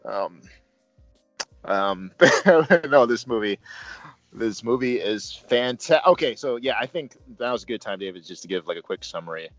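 A man's voice: medium pitch, lively intonation, moderate pace, no room sound, muffled recording, faint music behind. A man hosting a casual movie review, talking in a joking, rambling way.

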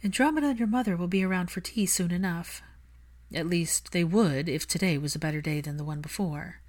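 A woman's voice: on the low side, brisk, darkish tone, natural voice. A woman voicing a stubborn, affectionate young woman in an audiobook story, assertive and teasing.

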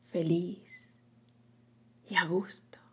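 Female meditation guide, lowish pitch, extremely breathy near-whisper, soft and soothing phrasing. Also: flat delivery. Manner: slow, hushed delivery in short phrases with long pauses.

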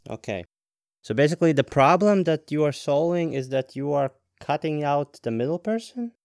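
A man's voice: fairly high pitch, animated, normal speed, darkish tone, no room sound, clean studio sound. A man, interviewing founders in a friendly, chatty way with jokey asides. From Latvia. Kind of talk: casual interview chat.

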